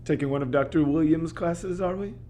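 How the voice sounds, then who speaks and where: A man's voice: a little high, a little fast, mellow, a little hiss or hum, natural voice. An actor playing a teasing male librarian in an audio play, with a dry, arch manner. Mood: wry, teasing.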